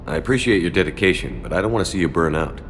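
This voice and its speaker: An actor playing a steady, authoritative military commander in a video game, speaking in a direct, clipped manner. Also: male voice, low voice, rapid-fire, slight background noise. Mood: concerned. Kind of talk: video game dialogue acting.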